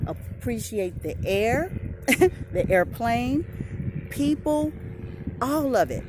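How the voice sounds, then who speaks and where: A woman's voice: fairly high pitch, expressive, takes it slowly, background noise. A middle-aged woman talking earnestly in a reflective personal vlog, recorded outdoors on a phone or camera, sniffling now and then. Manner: earnest, heartfelt, searching for words. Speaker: vlogger. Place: outdoors, airplane passing overhead.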